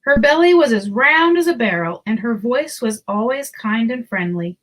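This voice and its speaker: Animated, fairly high-voiced woman reading a storybook. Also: moderate pace, rough recording. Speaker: children's book narrator. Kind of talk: expressive storybook read-aloud.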